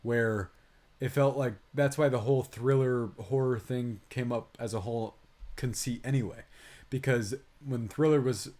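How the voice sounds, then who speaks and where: Male podcast co-host, mid-pitched, conversational and opinionated, loose movie-review chat. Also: steady tone, moderate pace, a little mellow.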